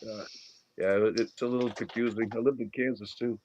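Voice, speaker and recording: A man's voice: mid-range voice, steady tone, fairly quick, darkish tone, muffled recording. A middle-aged man from the Boston area with a Boston accent, a guest in a casual chat who rambles with many restarts and fillers. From Boston area, Massachusetts.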